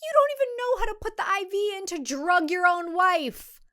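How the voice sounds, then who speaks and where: A woman's voice: very high voice, very animated, moderate pace, sharp tone, voice pushed up, heavily acted. A woman voicing a resolute young woman standing up to a villain, emphatic and indignant, in a thriller audio drama.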